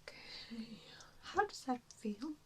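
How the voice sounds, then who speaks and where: A woman doing an ASMR roleplay in a breathy whisper, speaking in a gentle, hesitant, reassuring way. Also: on the high side, big pitch swings, slow pace. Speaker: ASMR roleplayer playing an assessor. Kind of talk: ASMR roleplay, softly whispered personal attention.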